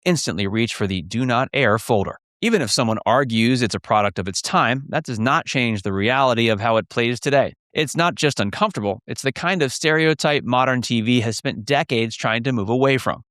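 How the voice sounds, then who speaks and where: A man delivers scripted documentary-style narration as the narrator of a list video. His voice sits at an ordinary pitch, recorded dry with no room sound. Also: a little fast, few pauses, a bit bright, no room sound.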